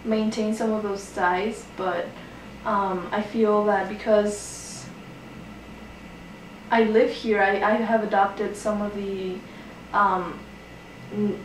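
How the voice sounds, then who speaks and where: A young woman answering an interview carefully and hesitantly, with many pauses and ums. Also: mid-range voice, flat delivery, echoey room, recorded from a distance, a little hiss or hum, low-quality recording.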